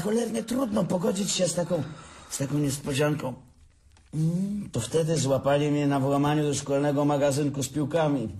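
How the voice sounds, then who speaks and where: A man's voice: on the high side, a little mellow. An actor playing a bitter, drunk older brother who mocks and laughs at his sibling in a radio drama. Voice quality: sneering, laughs often.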